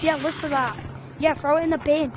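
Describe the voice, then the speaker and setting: A woman's voice: high voice, steady tone, brisk, background noise. A woman chatting in game voice chat, teasing other players and repeating scolding orders. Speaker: gameplay video creator.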